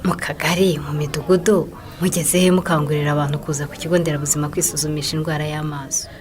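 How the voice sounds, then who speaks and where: A woman acting as a Rwandan health centre clinician in a radio play. She is approving and instructive, speaking briskly in a slightly low voice. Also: little pitch movement, natural voice. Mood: encouraging. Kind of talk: radio drama dialogue.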